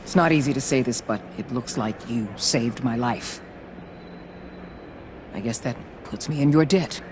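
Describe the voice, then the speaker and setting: An actor playing a measured, proud official in a video game, speaking in a formal, controlled way. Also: male voice, mid-range voice, close to an everyday voice. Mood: measured, reserved. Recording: clear outdoor traffic noise behind.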